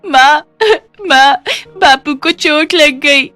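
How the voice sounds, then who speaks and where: An innocent young girl from a poor household, voiced by a woman for a cartoon tale. Her voice is high, childlike and plaintive, with earnest worry in it. Music plays faintly underneath. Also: steady tone, slow, bright, forceful tone, exaggerated voice. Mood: alarmed. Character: innocent, worried young daughter of a poor family.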